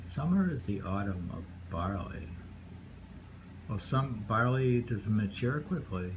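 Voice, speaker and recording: Man, low voice, very slow unhurried pace, poetry reading with musing asides, noisy recording. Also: expressive. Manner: unhurried, musing, often repeats phrases. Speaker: poetry reader. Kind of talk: reading haiku aloud with casual musing asides.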